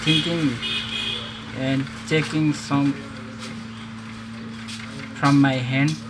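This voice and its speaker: Male, Nepali native, slow flat tutorial delivery in halting English, noisy recording. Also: mid-range voice. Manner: halting non-native English, many pauses and restarts. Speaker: phone repair demonstrator. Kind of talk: hands-on repair tutorial. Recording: loud background noise.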